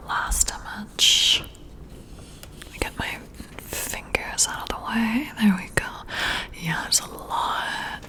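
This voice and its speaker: Slow, whispering woman, flirty boss roleplay. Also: mid-range voice, sharp tone. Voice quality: breathy whisper. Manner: flirty, slow, intimate, with drawn-out pauses. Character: flirty, intrigued female boss giving a job interview. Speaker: ASMR performer role-playing a flirty new boss. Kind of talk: ASMR roleplay, whispered close to the ear. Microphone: close-up ASMR microphone.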